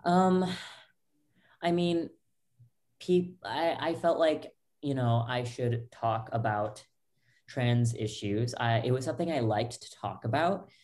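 A young woman with a low voice, a podcast guest telling her own story in loose talk full of fillers and restarts, over a video call from a small bedroom. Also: slow pace, darkish tone. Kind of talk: candid personal story. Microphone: laptop webcam, video call.

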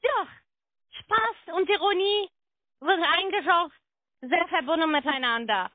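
A woman's voice: very high voice, a little slow, no echo. A woman working as a call centre agent, arguing on the phone in a confrontational way with non-native phrasing. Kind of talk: argumentative phone conversation. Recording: narrow tinny phone line.

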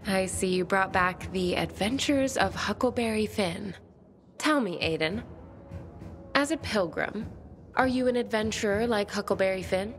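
A woman's voice: medium pitch, unhurried, soft background music, lightly acted. An actress playing a bookish, romantic and courteous woman survivor in a video game, speaking formally and thoughtfully. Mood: cheerful. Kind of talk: video game cutscene dialogue.

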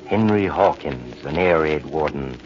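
Very deep, sinister storyteller. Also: male voice, lively intonation, unhurried, faint music behind. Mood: ominous. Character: sinister, unhurried doctor storyteller of horror tales. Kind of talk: dramatic radio narration. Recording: muffled old radio recording.

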